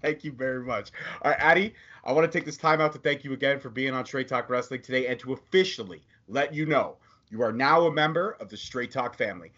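A man hosts a podcast interview, upbeat and playful as he steers the chat. His voice has an ordinary middle pitch. The recording sounds dull and lo-fi. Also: fast, hardly any pauses, sharp tone. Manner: upbeat, joking, self-promoting. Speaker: podcast host. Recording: dull lo-fi audio.

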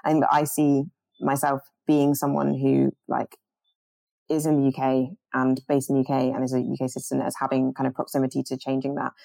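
A young woman with a low, mellow voice talks earnestly about her organizing over a video call. She restarts her sentences often as her thoughts run ahead, and the sound is dry and close. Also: monotone, a little fast, vocal fry, no echo, low-quality recording. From born in Jamaica, grew up in the UK. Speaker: climate organizer guest.

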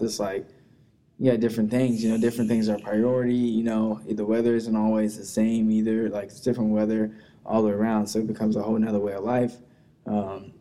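A young man from Texas with a low-pitched voice, talking as a guest on a podcast. He rambles casually and pads his talk with fillers, unhurried and easygoing. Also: dark tone. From Texas, USA. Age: young adult. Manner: laid-back, rambling with many fillers. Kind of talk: casual conversation.

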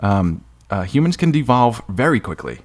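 A man's voice: lowish voice, big pitch swings, normal speed. A man hosting a podcast interview in a casual, informal way, thinking aloud with some hesitation. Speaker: podcast host interviewing an author.

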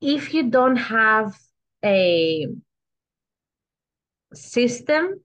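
A woman's voice: on the high side, big pitch swings, very slow, a bit bright. A woman with a Greek accent, a trained actress and guest on a podcast, talking casually and often restarting her sentences. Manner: chatty, restarts sentences, asks "you know?" often.

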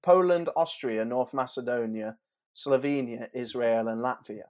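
A man's voice: medium pitch, a little slow, a little mellow. A man in his thirties chatting informally and a little hesitantly as a podcast host previewing sport. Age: 30s. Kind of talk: casual football preview chat.

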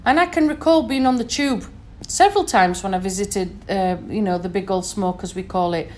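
A woman's voice: mid-range voice, brisk. A woman from Sheffield with a Sheffield accent, an educator giving an earnest recorded talk and often checking in with listeners with "okay?". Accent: Sheffield English. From Sheffield, northern England.

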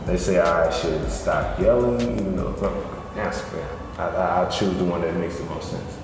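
A man's voice: sits low, normal speed, heavy reverb, in a large hall, over music. Playful young man reading a quiz. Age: young adult. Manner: playful, joking, laughs easily. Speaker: podcast host. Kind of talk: casual chat, reading out quiz questions.

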